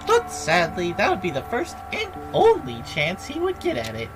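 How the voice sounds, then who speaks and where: A woman's voice: on the high side, wildly swooping pitch, over music, voice pushed up. A woman voicing a gleeful, taunting robotic bear villain telling a story theatrically for a video game. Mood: sly storytelling. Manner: theatrical, mocking, drawn-out announcements. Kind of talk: dubbing video game dialogue aloud.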